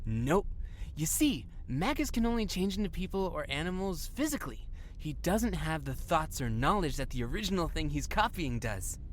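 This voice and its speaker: A woman playing an excitable fifteen-year-old boy comic geek in an audio drama, eager to explain and easily annoyed. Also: low-pitched, moderate pace, slight background noise. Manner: eager explaining, whiny when teased.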